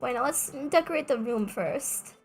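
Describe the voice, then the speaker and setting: A woman's voice: on the high side, lively intonation, normal speed, faint music behind. A young adult woman streaming a game, chatting casually in a rambling, self-mocking way with joking asides. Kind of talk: casual gameplay streaming chat.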